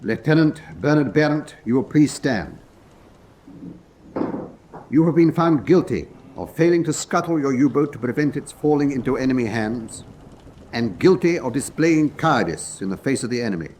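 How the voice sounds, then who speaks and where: Man as conscientious officer with lively intonation. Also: mid-range voice, moderate pace, close to an everyday voice. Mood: grave, formal. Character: conscientious, doubting German officer presiding over prisoners' court-martial. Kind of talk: radio drama acting. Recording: background noise and ambience.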